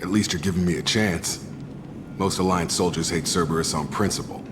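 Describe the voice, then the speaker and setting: A man's voice: low voice, brisk, close to an everyday voice. An actor voicing a frank, world-weary male ex-soldier in a video game. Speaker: game character. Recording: game audio with long reverb and clear hiss.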